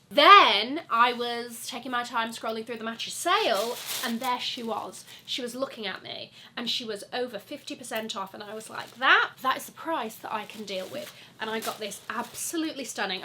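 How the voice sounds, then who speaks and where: A young woman, stuffy from a cold, chatting in a gushing, animated way about her luxury fashion wishlist. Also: on the high side, a little fast, few pauses, background noise. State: congested from a cold. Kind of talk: chatty sponsored wishlist vlog.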